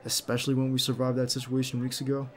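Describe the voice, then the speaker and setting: A man playing a nervous, devoted bodyguard on a date in an intimate ASMR roleplay, speaking softly and hesitantly with some gentle teasing. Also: medium pitch, monotone, quick pace, lightly acted. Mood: relaxed, teasing. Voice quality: creaky. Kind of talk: ASMR roleplay acting, intimate one-sided dialogue.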